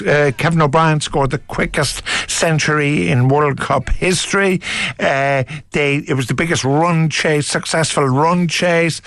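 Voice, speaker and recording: A man's voice: a little high, a bit bright, no echo. A man with an Irish accent hosting a radio talk show, blunt and passionate as he interviews and comments. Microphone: studio microphone.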